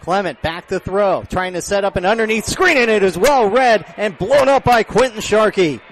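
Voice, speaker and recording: An American man doing live radio play-by-play of a football game, projecting his voice and getting excited on big plays. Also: high-pitched, normal speed. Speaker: play-by-play announcer. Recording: live outdoor stadium broadcast, background noise.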